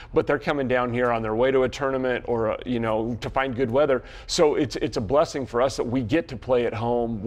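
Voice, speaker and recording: Middle-aged American softball coach. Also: male voice, medium pitch. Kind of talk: sports interview answers. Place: small indoor locker-style studio set.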